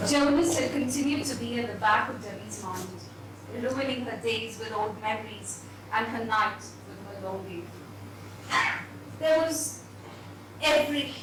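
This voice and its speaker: A woman's voice: a little high, expressive, measured pace, pauses often. A woman giving a dramatised reading of a story on stage. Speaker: story reader. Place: echoey event hall with audience. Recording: very echoey hall, distant, noisy.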